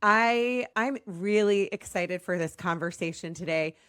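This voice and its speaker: An American woman hosting a podcast in a chatty, rambling, self-deprecating way, her voice slightly raspy as it recovers from being lost. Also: fairly low pitch, measured pace, a bit bright, no room sound, clean studio sound. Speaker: podcast host.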